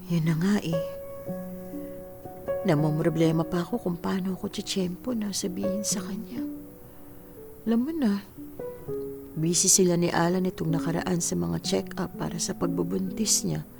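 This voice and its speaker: Creaky-voiced, gentle middle-aged mother. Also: lowish voice, fairly even intonation, moderate pace, pauses often, over music. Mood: worried. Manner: tender, reassuring. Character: gentle, devoted middle-aged mother hiding her illness. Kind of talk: Filipino radio drama acting.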